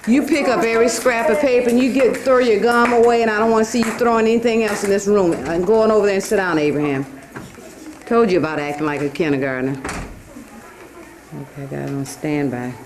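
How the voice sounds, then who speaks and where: A woman teacher runs her class with a firm, directive manner, prompting students with quick questions. She is heard from a distance across a roomy, echoing classroom. A faint hiss sits under the recording. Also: mid-range voice. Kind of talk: leading a classroom discussion. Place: school classroom.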